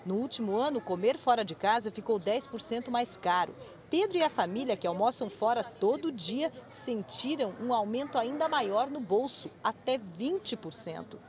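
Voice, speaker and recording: Brazilian woman reporter, slightly high voice. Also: lively intonation, moderate pace. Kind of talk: scripted news narration.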